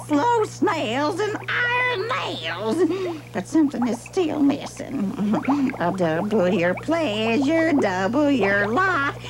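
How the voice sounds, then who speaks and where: A woman playing a vain, scheming potion-brewing witch on a children's television show, with gloating, theatrical delivery. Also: on the high side. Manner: drawn-out, gloating, exclamatory. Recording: music under 1970s television soundtrack.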